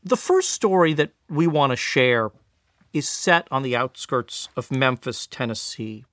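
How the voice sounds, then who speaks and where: A middle-aged man hosting a podcast, earnest and lively in his scripted narration. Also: medium pitch, measured pace, dry recording, clean studio sound. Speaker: podcast host.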